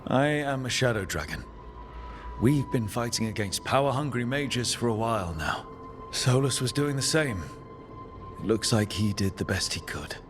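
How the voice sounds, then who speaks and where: An actor playing a calm, principled rebel hero in a video game cutscene. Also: male voice, mid-range voice, moderate pace, close to an everyday voice. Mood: measured, resolute. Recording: music behind the voice.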